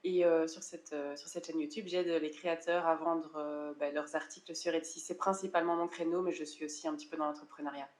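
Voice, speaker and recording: A woman from France in her thirties, speaking in a fairly low voice. She talks in a loose, conversational way, pausing with fillers and restarting her sentences as she tells her story. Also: level tone. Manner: chatty, frequent hesitations and restarts. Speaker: interview guest, online seller coach. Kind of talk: casual conversational interview.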